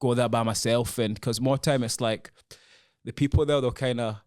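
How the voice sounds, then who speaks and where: A man's voice: medium pitch, steady tone, normal speed, a little mellow, clear, resonant tone, no echo, clean studio sound. A young man with a Glaswegian accent, a podcast guest talking in a frank, laid-back, casual way. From Scotland, born in Congo. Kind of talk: casual chat. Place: podcast studio.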